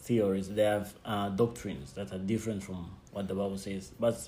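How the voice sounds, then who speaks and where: A young Congolese man speaking English as a second language, Swahili his first, talking softly in a casual interview with frequent restarts. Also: low-pitched, steady tone, slight background noise. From Democratic Republic of the Congo. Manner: soft-spoken, halting, with frequent restarts and laughs. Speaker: missionary team member, guest. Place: house in a neighbourhood. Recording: music in the background.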